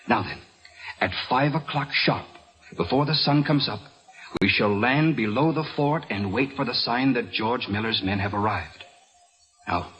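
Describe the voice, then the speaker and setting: An actor playing a resolute, stern colonial militia leader, commanding and formal, in an old-time radio drama. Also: male voice, mid-range voice, a bit bright, dull audio, close to an everyday voice.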